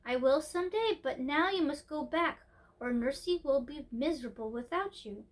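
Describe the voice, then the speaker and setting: A woman voicing a very old, gentle and mysterious great-great-grandmother queen in a storybook, speaking patiently. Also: high-pitched, animated, moderate pace. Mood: patient, kindly.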